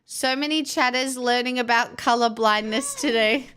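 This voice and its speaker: A woman's voice: on the high side, moderate pace, a bit bright, slight background noise. A woman hosting a livestream, chatting casually with viewers in a playful, teasing way. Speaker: livestream host.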